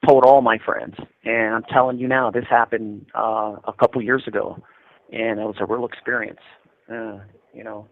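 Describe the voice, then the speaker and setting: A man's voice: on the low side, flat delivery, moderate pace, creaky. An American man in his fifties speaks over a thin, narrow telephone line. He tells his experiences in a conversational, explanatory way, often circling back to repeat a point. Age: 50s. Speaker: incarcerated interviewee calling from prison. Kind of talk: casual phone interview, recounting experiences. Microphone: prison telephone.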